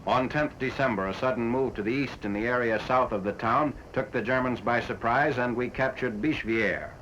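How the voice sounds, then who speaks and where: A man's voice: mid-range voice, fairly bright tone. Muffled 1940s male newsreel narrator. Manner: formal, factual, measured delivery. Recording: dull, noisy 1940s film soundtrack.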